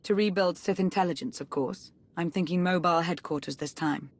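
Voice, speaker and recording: A woman acting a proud, dutiful Sith spymaster in a video game cutscene. Her voice sits fairly low for a woman, and she speaks in a measured, formal, earnest way, composed even when she blames herself. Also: lightly acted. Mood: composed, businesslike. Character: composed, dutiful Sith intelligence chief, proud but self-reproachful woman.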